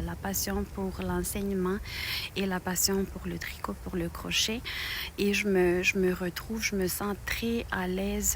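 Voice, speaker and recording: Halting woman with a Romanian accent. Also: fairly low pitch, background noise. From Moldova. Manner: halting, many fillers and restarts. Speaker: knitting channel host. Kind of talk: personal thank-you video.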